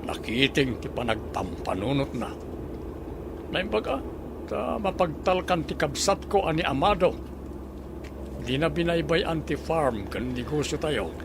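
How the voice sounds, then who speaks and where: A man's voice: on the high side, very animated, measured pace, creaky, noisy background, exaggerated voice. An actor with an Ilocano accent playing an aging, stubborn father in a radio drama, speaking in a firm and emphatic way. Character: aging stubborn father set on returning home.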